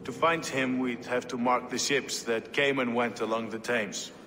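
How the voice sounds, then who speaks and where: An actor playing a hard, cynical medieval warrior in a game cutscene, with a blunt, wry and sceptical way of talking. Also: male voice, medium pitch, steady tone, normal speed, close to an everyday voice. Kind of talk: dramatic game cutscene acting. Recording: reverberant hall, faint ambient noise.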